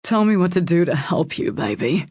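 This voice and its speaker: Woman narrator as protective desperate man, gravelly, husky, intense pleading, dry close audiobook sound. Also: deep voice, a little slow, darkish tone, no room sound, heavily acted. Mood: frustrated, desperate. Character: anguished, protective man pleading with his lover.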